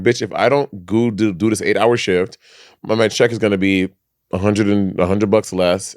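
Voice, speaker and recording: A man co-hosting a podcast in casual chat, animated and campy, who swears freely. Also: lowish voice, dry recording, studio quality. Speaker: podcast co-host.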